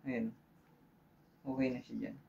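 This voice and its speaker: Gravelly Filipino man giving a casual tutorial. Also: mid-range voice, little pitch movement, slow, a little mellow, lo-fi sound, a little hiss or hum. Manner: informal, thinking aloud, checks in with viewers. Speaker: tutorial host. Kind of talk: casual hands-on tutorial talk.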